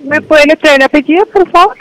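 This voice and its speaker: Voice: high-pitched, fairly quick, fairly bright tone. A hotel receptionist speaking politely in a customer-service manner over a phone call. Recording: noisy phone call played through studio.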